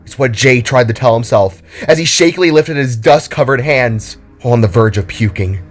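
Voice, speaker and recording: A man's voice: medium pitch, moderate pace, faint music behind. A man narrating a scripted, dramatic story.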